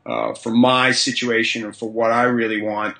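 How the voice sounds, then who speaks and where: Bright-voiced man with a mixed Boston-Southern accent. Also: medium pitch, little pitch movement, normal speed, gravelly. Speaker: high school strength coach. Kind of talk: interview guest.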